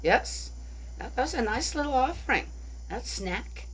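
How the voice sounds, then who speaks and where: Woman rambling through a bible story, asks "right?" every few lines, easygoing and thinking out loud. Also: fairly high pitch, lively intonation, measured pace, slight background noise, low-quality recording. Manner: chatty, rhetorical, keeps asking "right?". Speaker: bible study speaker. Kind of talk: casual spoken reflection on a bible story.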